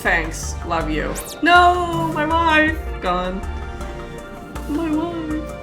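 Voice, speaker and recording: A woman streamer reacting to a game, giggly and excitable. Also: high-pitched, extremely slow. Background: game music.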